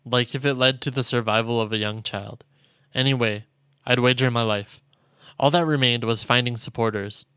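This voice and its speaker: A man streaming a visual novel, reading its text aloud as dramatic, measured narration. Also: mid-range voice, fairly even intonation, sharp tone, clear, resonant tone, dry recording. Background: game music.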